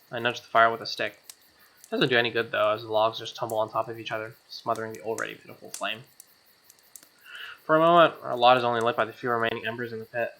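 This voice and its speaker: A man's voice: mid-range voice, creaky. A man reading a visual novel aloud as a let's player, narrating the story and tossing in casual reactions. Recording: dull lo-fi mic under loud music. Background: loud game music.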